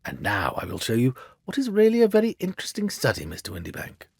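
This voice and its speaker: A man voicing a shrewd, self-assured gentleman detective for a storybook, with a precise, theatrical, lecturing manner. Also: medium pitch, huge pitch swings, fast, gravelly, husky, no room sound, studio quality, exaggerated voice.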